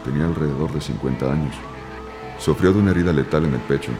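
An actor playing a clinical, matter-of-fact forensic examiner reporting findings in an audio drama. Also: male voice, a bass voice, quick pace, over music. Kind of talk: scripted audio drama dialogue.

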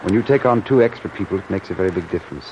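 A genial British submarine commander in a classic radio play. His voice sits fairly low, frank and jolly in its officer's slang. The recording is dull and clearly noisy. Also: racing. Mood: frank, confiding. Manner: hearty, clipped officer's slang. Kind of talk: old-time radio drama acting.

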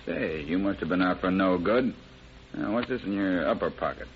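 Tough no-nonsense cop, lowish voice, brusque, commanding, old radio drama, muffled hissy recording. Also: male voice, moderate pace. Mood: gruff, searching. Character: tough, no-nonsense male police officer. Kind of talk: 1940s radio crime drama acting.

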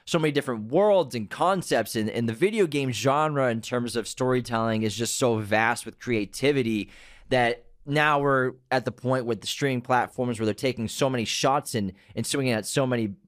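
A man hosting a podcast in a casual, upbeat way, laughing easily as he steers the conversation. His voice sits in a middle pitch. The sound is dry and close, with no room echo. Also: moderate pace. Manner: upbeat, laughs often, leads the questions. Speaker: podcast host.

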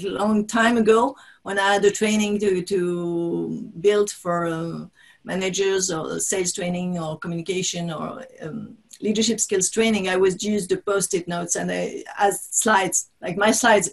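A woman whose first language is French, speaking English as an author guest in an interview over a video call, halting and restarting often. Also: mid-range voice, gravelly. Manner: halting, restarts often, laughs between thoughts. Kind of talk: conversational interview.

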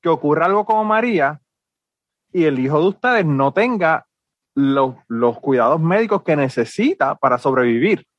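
A man hosting a casual panel chat, arguing his point with lively questions. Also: on the high side, moderate pace, no room sound. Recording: dull video call audio.

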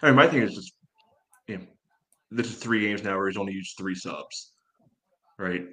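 A man's voice: low-pitched, moderate pace, a bit bright. An American man talking casually through sports analysis as a podcast co-host.

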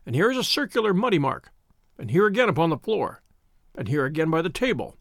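A brilliant detective lays out his reasoning in a crisp, confident, lecturing manner, voiced by a man. His voice moves freely up and down with a gravelly grain. It sits dry and close to the mic. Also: a little high, very animated, fast, dry recording, full character voice. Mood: brisk lecturing.

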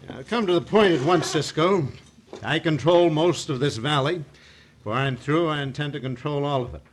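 An actor playing a ruthless, commanding cattle baron villain in an old-time radio western. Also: male voice, fairly high pitch, normal speed, natural voice. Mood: commanding, scheming. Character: ruthless, smooth-talking wealthy American cattle baron villain. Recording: noisy old radio recording.

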